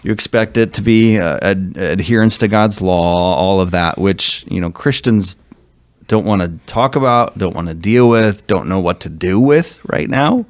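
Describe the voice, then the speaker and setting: A male pastor speaking earnestly as a podcast guest, thinking aloud with many pauses and fillers. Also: deep voice, animated, no echo. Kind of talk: discussion guest.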